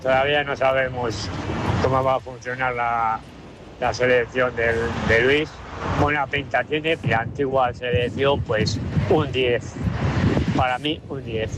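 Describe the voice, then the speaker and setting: A man's voice: mid-range voice, fairly even intonation, a little slow, very noisy. A man giving a hesitant, casual opinion in a street interview outdoors.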